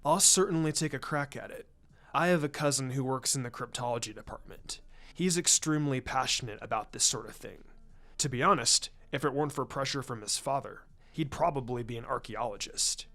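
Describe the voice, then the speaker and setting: A man voicing a shrewd, laid-back intelligence chief in a story reading, with a careful, deliberate way of speaking. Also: fairly bright tone, close to an everyday voice. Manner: careful, deliberate, low-key. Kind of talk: fan fiction story reading. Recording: music under the voice.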